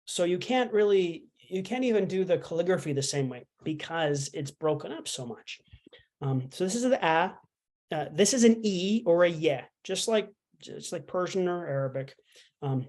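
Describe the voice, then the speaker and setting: Easygoing teacher talking through a lesson, mid pitch, loose and chatty. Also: expressive, normal speed, darkish tone. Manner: chatty, explains with fillers and playful asides. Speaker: language instructor. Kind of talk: casual online language lesson.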